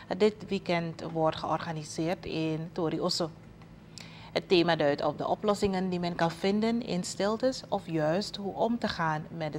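Surinamese lady reading tv news, kinda low voice, some noise behind her. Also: darkish tone. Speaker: news presenter. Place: TV news studio.

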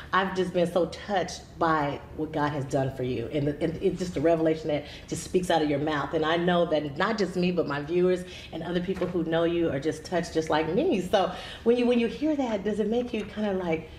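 A woman's voice: sits low, moderate pace, roomy sound, slight background noise. Bubbly woman hosting a talk show. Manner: bubbly, chatty, many affirming uh-huhs. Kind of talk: enthusiastic interview chat. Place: TV studio set, seated.

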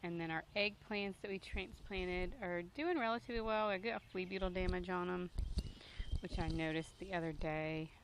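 A woman's voice: fairly low pitch, fairly even intonation, normal speed. A woman in her thirties giving a casual garden tour, talking into a handheld phone outdoors. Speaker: gardening vlogger. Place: outdoors in a backyard vegetable garden. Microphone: handheld phone at arm's length. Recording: noisy outdoor phone recording.

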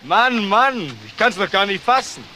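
A man playing an agitated young car salesman in a crime radio drama, excitable, indignant and colloquial. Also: high-pitched, measured pace, a little hiss or hum, full character voice. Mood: shaken.

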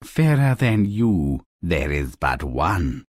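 A man's voice: sits low, big pitch swings, slow, a little mellow, no echo, clean studio sound, full character voice. A man voicing a droll, courtly, theatrical fairy-tale character in a video game. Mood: courtly, solemn.

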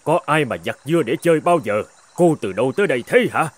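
Actor as grumbling old farmer, lively pitch. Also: male voice, fast, close to an everyday voice. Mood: stern, scolding. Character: old farmer husband, grumbling but soft-hearted. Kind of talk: animated folk tale dubbing.

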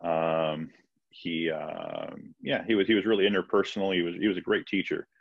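A man's voice: low-pitched, normal speed, vocal fry, husky, no room sound. A man in his thirties from Peru, raised in Florida, a physician answering interview questions on a video call in a hesitant way, with many fillers and restarts. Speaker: physician guest. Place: home room, video call. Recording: dull lo-fi video call audio.

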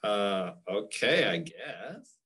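A woman's voice: a bass voice, very animated, very slow, a bit bright, breathy edge, full character voice. A woman voicing a naive, put-upon teenage raindrop in a theatrical comic strip read-aloud. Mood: reluctant. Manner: exclamatory, hesitant, theatrical.

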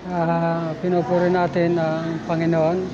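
An older man, a founding church member, answering an interview haltingly with many pauses and fillers. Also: on the high side, monotone. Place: church hall, indoors. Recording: dull, noisy room audio.

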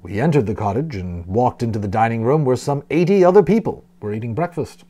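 A man reading a storybook aloud in an expressive, dramatic narrating style. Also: medium pitch, fairly quick. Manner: dramatic, with brief explanatory asides. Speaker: audiobook reader, storyteller.

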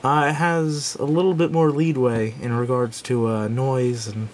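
A man giving a casual, unscripted product review in a halting, self-doubting way, recorded indoors at a tabletop on a camera microphone. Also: flat delivery. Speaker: gadget reviewer. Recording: clear background noise with low rumble.

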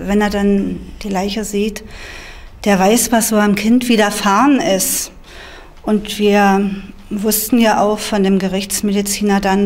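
Middle-aged woman, monotone, sober, halting, interview recorded indoors. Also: medium pitch. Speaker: public prosecutor. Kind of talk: documentary interview. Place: dim office, indoors.

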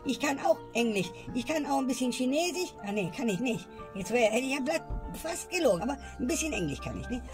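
A woman's voice: high voice, lively intonation, brisk, few pauses. Grumbling woman, music. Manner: grumbling, tags lines with "ne?". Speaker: comedy sketch character. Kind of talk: ranting comedy dialogue. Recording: music bed behind voice.

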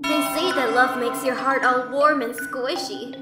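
A woman's voice: high-pitched, steady tone, a little slow. A woman voicing a cheerful little child ghost who loves scary stories, cutesy and gleeful, in a comic dub. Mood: gleeful, playful. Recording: roomy echo under loud music.

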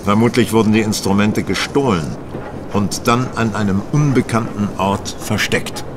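An actor playing an astute, composed male detective in a German radio play, speaking in a deliberate, assured way. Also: natural voice. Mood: measured, pondering. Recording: clear vehicle noise behind the voice. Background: train rumble.

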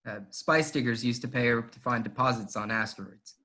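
A man playing a cocky but earnest pilot in a fan table read, with a casual, halting, persuasive manner. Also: mid-range voice, bright, forceful tone, close to an everyday voice. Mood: earnest, persuading.

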